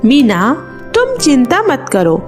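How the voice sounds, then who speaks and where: A woman voicing a kindly magical fairy in a children's story, gentle and reassuring. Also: high voice, huge pitch swings, slow, soft background music, voice pushed down, heavily acted.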